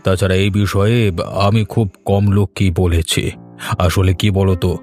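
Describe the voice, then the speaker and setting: A man with a West Bengal accent voicing a scheming, domineering village headman in a cartoon, speaking in a measured, condescending way. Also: low-pitched, fairly even intonation, a little mellow, soft background music, lightly acted. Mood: secretive. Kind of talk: cartoon voice acting.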